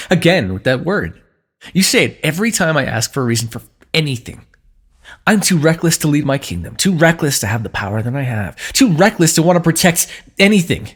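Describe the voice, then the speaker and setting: A man voicing a protective, lovesick warrior king in a fantasy ASMR roleplay, pleading earnestly with the listener. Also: mid-range voice.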